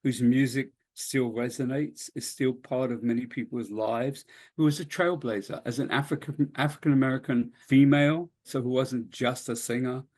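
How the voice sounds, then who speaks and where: Guy being interviewed, medium pitch, stumbles and repeats himself hunting for words. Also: steady tone, a little mellow. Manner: halting, searching for words with repeats. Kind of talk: unscripted interview remarks.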